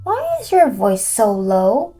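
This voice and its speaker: A woman's voice: mid-range voice, very animated, slow, warm tone. A woman voicing a clever, wary young girl, the eldest sister, questioning emphatically in a storybook reading. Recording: music behind the voice.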